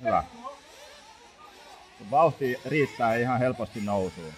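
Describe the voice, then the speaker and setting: A man from Finland working as a racing mechanic, coaching his driver over team radio with calm, steady instructions and lap times. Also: mid-range voice. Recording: radio with loud track noise.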